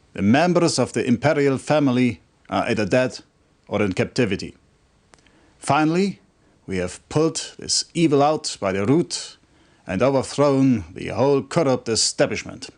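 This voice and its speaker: Fervent military officer, dramatically swinging pitch. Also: male voice, a little mellow. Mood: triumphant, solemn. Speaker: military officer briefing a commander. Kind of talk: dramatic video game cutscene acting.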